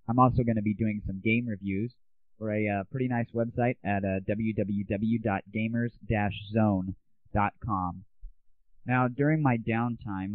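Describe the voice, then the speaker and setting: A young man with a lowish voice hosts a comedy audio show in a cocky, sarcastic monologue style. The recording is dry and very narrowband, tinny like an old web stream. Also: no room sound. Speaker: host of an internet audio show. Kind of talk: scripted comic monologue. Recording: tinny, band-limited lo-fi audio.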